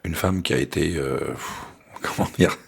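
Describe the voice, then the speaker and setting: A radio interviewer with a deep, husky male voice. He asks his questions gently and haltingly, filling the gaps with hesitations. His tone stays low and breathy.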